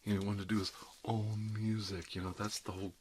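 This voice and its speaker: A man's voice: fairly low pitch, level tone, fast, darkish tone, a little hiss or hum. A middle-aged man doing an ASMR roleplay as a dentist, chatting casually in a rambling, jokey, one-sided conversation peppered with "you know". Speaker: ASMR performer playing an emergency dentist.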